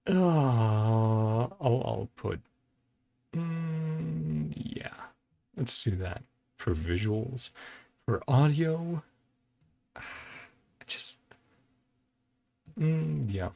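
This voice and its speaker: Low, gravelly man thinking aloud. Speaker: reaction video host. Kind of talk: casual reaction commentary. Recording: faint music behind the voice. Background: faint music.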